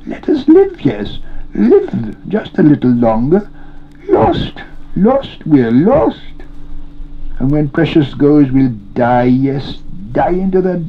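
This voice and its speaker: A man's voice: on the high side, very animated, takes it slowly, pitched above the performer's own voice, full character voice. A man voicing a wretched, starved creature in an audiobook, wheedling and treacherous, repeating words as he whimpers and hisses. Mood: desperate pleading. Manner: repeats words, pleading in the plural. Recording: dull lo-fi audio, clear noise.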